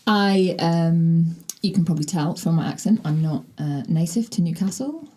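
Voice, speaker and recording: A woman in her forties, a guest in a podcast studio, talking in a halting way with many filler sounds. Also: lowish voice, expressive, mellow. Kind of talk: casual interview.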